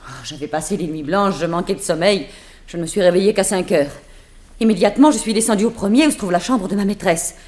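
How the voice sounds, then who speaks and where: A woman's voice: medium pitch, fast, a little mellow, lightly acted. An actress playing a guarded, defiant undercover woman posing as a housemaid in a 1960s French radio drama, terse and intense.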